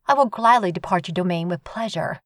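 Guy putting on a snippy sarcastic young woman, way higher than his own voice, dry sound. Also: brisk, fairly bright tone, no echo. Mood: indignant. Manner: scolding, sarcastic. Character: brash sharp-tongued young woman neighbor. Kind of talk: audiobook reading.

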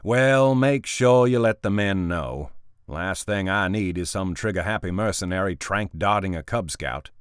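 A man voicing an irritable, wealthy male tech boss who is brash, impatient and quick to swear. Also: deep voice, clear, resonant tone, dry recording, studio quality.

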